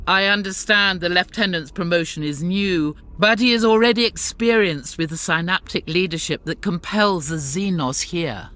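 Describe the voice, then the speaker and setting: A woman's voice: on the low side, fairly bright tone. A woman voicing a stern, devout warrior nun commander with a dry, formal manner, in a game cutscene. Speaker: sister superior.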